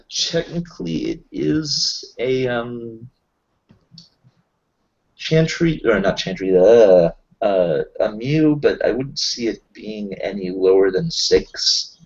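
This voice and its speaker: A man improvising in a tabletop roleplaying session, talking haltingly and often searching for his words. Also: medium pitch, darkish tone. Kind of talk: improvised in-character roleplay chat. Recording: dull lo-fi voice recording.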